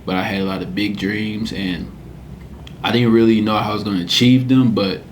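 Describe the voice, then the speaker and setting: A man's voice: fairly low pitch, fairly even intonation, brisk, slight background noise. A man in his twenties from Cameroon, a podcast guest telling his story in an earnest, reflective casual chat. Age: 20s.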